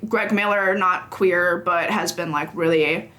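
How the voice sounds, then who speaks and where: A woman's voice: lowish voice, fairly even intonation, a little slow, pushed and bright. Young woman chatting informally in an interview. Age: young adult. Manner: informal, hedging, thinking aloud. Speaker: podcast guest, games journalist. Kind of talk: casual interview chat.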